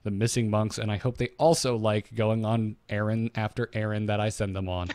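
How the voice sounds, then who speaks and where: A man's voice: lowish voice, little pitch movement, moderate pace, a little mellow, clear, resonant tone, no room sound. Man co-hosting a casual lore recap podcast. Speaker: podcast co-host. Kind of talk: notes-based game lore recap with casual banter.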